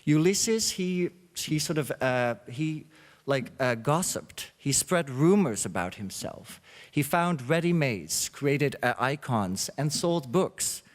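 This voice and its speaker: A man hosting a symposium, reading a reflective introduction with many pauses and fillers. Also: medium pitch, lively intonation. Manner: halting, with frequent uh fillers. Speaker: symposium host. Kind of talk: read introductory speech. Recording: long hall reverb.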